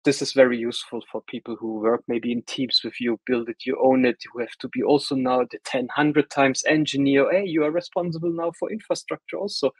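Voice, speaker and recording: A middle-aged man with a German accent leads a technical webinar over a video call. His voice is slightly husky and sits in a middle pitch, recorded dry and close with no room sound. He talks casually, with plenty of fillers and false starts. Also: steady tone, brisk, hardly any pauses, no room sound, poor audio. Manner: conversational, frequent fillers and restarts. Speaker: webinar presenter.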